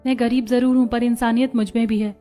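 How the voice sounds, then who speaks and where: A woman's voice: a little high, flat delivery, quick pace, warm tone, soft background music, close to an everyday voice. A woman playing a poor, earnest, self-sacrificing young college student in a cartoon story, speaking plainly. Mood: calm, dignified. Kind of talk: Hindi cartoon story dialogue.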